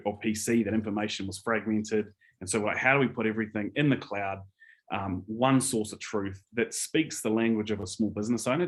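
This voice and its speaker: Lowish-voiced New Zealand man, casual and keen. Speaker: business executive, interview guest. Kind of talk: casual, earnest interview talk.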